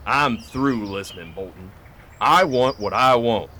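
A man's voice: mid-range voice, measured pace, pushed and bright. An actor playing a greedy, defiant outlaw henchman in a western radio drama, belligerent and demanding. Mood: defiant, threatening. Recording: outdoor nature ambience behind, faint noise.

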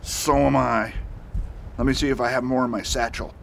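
An actor playing a steady, determined male US marshal in a Western radio drama, commanding and earnest. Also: medium pitch, normal speed, vocal fry, a little hiss or hum, poor audio. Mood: urgent. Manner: commanding in action, earnest and friendly at rest. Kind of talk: amateur radio drama acting.

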